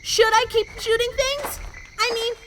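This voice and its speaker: A woman playing a plucky, chatty young cat woman in an audio drama, squeaky and eager, rambling a little. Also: fairly even intonation, measured pace, background noise. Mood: nervy bravado.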